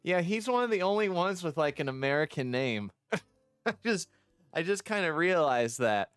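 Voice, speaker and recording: A man chats casually as a streamer walking viewers through a visual novel. The delivery is halting and full of self-interrupting asides. The sound is very dry, with music behind it. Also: on the high side, big pitch swings, a bit bright, smooth, no echo. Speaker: vtuber streamer showing their own visual novel. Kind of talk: casual commentary and read-aloud of a visual novel. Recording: music under the voice.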